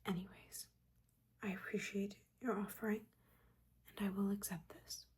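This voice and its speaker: A woman doing a soft-spoken, breathy, mostly whispered ASMR roleplay as a stern, disappointed witch mentor, with a halting, scolding manner. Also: medium pitch, flat delivery. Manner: scolding, halting, dryly exasperated. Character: stern, disappointed witch mentor, nagging but secretly caring. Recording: audible background ambience noise.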